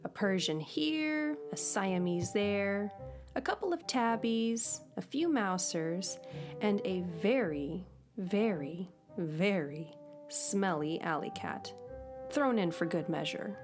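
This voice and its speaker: A woman narrating a children's storybook aloud, expressive and deliberate, with playful asides. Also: mid-range voice. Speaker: storybook reader. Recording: clear background music. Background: music.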